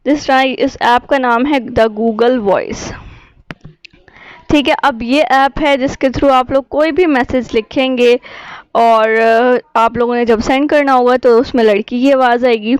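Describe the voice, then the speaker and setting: Woman, urdu, kinda high voice, doing a casual youtube how-to, dry close sound. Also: steady tone, unhurried, clear, resonant tone, no echo, rough recording. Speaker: YouTube tutorial host. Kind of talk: casual app tutorial narration.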